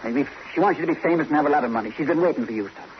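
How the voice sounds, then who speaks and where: An actor playing a protective older boxing manager in an old-time radio drama, coaxing in a gruff, fatherly, urgent way. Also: on the high side, rapid-fire, lightly acted. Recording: noisy muffled old radio drama recording.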